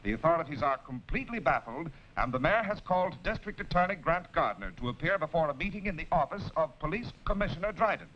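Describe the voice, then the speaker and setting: A man's voice: fairly high pitch, lively intonation, quick pace, hardly any pauses. Male newsreel-era narrator, raised register, formal and dramatic, dull vintage film audio. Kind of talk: 1940s film serial scripted narration. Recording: dull, noisy 1940s film soundtrack.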